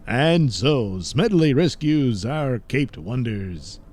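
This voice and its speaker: A man's voice: mid-range voice, sing-song, slow, a little mellow. A man giving theatrical scripted narration for a comedy serial.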